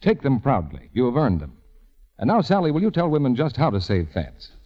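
A man's voice: medium pitch, huge pitch swings, fairly quick. A man delivering scripted announcements as a 1940s radio announcer. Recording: dull radio recording, long reverb.

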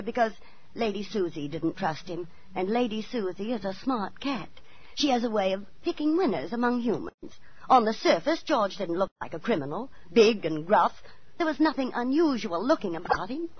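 Animated middle-aged landlady, old radio. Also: medium pitch, moderate pace, husky. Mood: ominous recollection. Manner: theatrical, expressive. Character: fretful, kindly boarding-house landlady, former actress. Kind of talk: 1940s radio acting and first-person narration. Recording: muffled 1940s radio recording with music.

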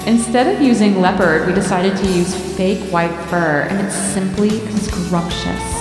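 A woman co-hosting a podcast, chatting casually and reading aloud from a diary in an expressive, playful way. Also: medium pitch, unhurried, music in the background. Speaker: podcast co-host.

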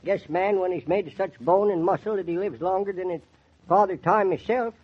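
A cantankerous old country farmer in a vintage radio play. His voice is high and husky, with a folksy rural American drawl, on a dull, muffled old recording. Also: brisk. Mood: proud, musing. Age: older. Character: crusty, folksy old male New England farmer. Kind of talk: 1940s radio drama acting.

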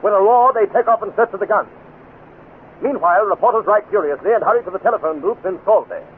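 An actor playing a dramatic, urgent announcer narrating a 1950s radio adventure serial. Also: male voice, high-pitched, fast, phone-quality sound, close to an everyday voice. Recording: thin, faintly noisy old radio recording.

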